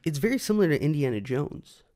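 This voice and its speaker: A man's voice: fairly high pitch, sing-song, fast talker, dark tone. A man co-hosting a casual film and gaming discussion, arguing his points insistently. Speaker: podcast co-host.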